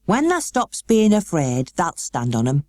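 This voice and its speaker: A woman's voice: on the low side, sing-song, unhurried, a little mellow, no room sound. A woman voicing a kindly, stout-hearted Yorkshire country boy in an audiobook, speaking rustic dialect in a hearty, reassuring way. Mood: cheerful. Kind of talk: audiobook character acting.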